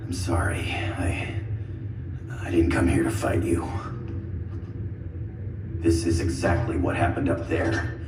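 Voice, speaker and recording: An actor playing an earnest, persistent superhero in a video game, plain-spoken and urgent. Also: male voice, rumbling low, fairly even intonation, takes it slowly, long pauses. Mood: pleading, earnest. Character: earnest male superhero, a space-sector guardian, frank and persistent. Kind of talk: video game cutscene acting. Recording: echoey, distant, with loud music behind.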